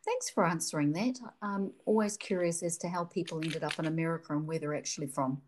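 A woman's voice: sits low, fast talker, darkish tone. A woman from New Zealand with a New Zealand accent, hosting an interview conversation and asking questions in an effusive, enthusiastic way. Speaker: podcast host.

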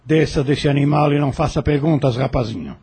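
A stern, husky landowner. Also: male voice, a little high, fairly quick, mellow, full character voice. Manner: curt, commanding. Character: stern authoritative male landowner. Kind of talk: radio drama acting.

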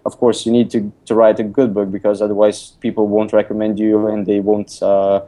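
A man's voice: fairly low pitch, flat delivery, fairly quick, warm tone. A young man from Lithuania, a non-native speaker of English, talking casually as an interview guest with many fillers and restarts. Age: young adult. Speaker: guest, app designer and author. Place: recording remotely from abroad.

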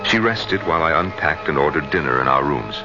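A man's voice: very deep voice, expressive, a little fast, lo-fi sound, heavily acted. An actor playing a middle-aged man in love who narrates his own story in a radio drama, articulate and dramatic. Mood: reflective, content. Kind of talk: first-person radio drama narration and dialogue. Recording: band-limited 1970s radio broadcast with loud music.

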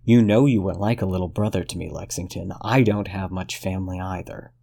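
Man playing a low-voiced detective, cold, measured, cruel, quietly menacing. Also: steady tone, vocal fry.

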